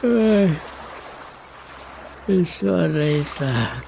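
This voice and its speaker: Slow, creaky-voiced Ilocano man. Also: sits high, lively intonation, mellow, a little hiss or hum, heavily acted, low-quality recording. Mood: musing. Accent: Filipino, Ilocano. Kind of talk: radio drama acting.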